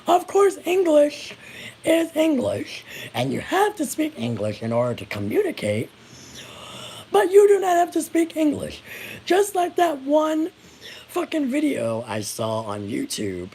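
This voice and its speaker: An American woman vlogger with a very high voice that swings widely in pitch. She speaks slowly and bluntly, venting her indignation in casual, profanity-laced talk. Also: a little mellow. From United States. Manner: blunt, indignant, swears freely. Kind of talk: casual opinion rant to viewers.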